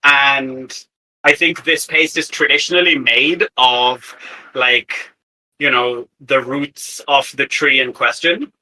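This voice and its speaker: A man with a very bright, crisp-edged voice speaks slowly as a player in a tabletop game. He improvises casually, feeling his way through ideas in short, hesitant bursts. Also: medium pitch, steady tone, poor audio. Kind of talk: casual improvised worldbuilding chat.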